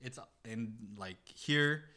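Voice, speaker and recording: A man's voice: mid-range voice, slow pace, a crowd in the background. A young man chatting casually and earnestly in slang as a podcast guest. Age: young adult. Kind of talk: casual chat.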